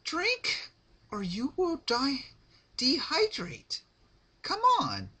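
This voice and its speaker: A man's voice: extremely high pitch, huge pitch swings, extremely slow, sharp tone, slightly rough, pitched above the performer's own voice, exaggerated voice. A man voicing a cheerful but sadistic young female pony in a sing-song, mock-sweet way for a dramatic fan-fiction reading. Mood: gleefully menacing.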